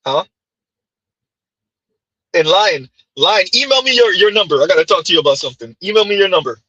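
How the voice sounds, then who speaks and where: A man with a Haitian accent commenting on clips during a live stream, emphatic and rhetorical, with a husky voice. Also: high-pitched, lively intonation, brisk, bright, forceful tone, dry recording, muffled recording. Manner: emphatic, rhetorical "huh?" asides.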